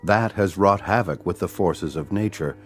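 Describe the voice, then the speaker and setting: A man acting a courteous, faintly sinister alien leader in a radio drama, with a formal, smooth, measured delivery. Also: low voice, faint music behind.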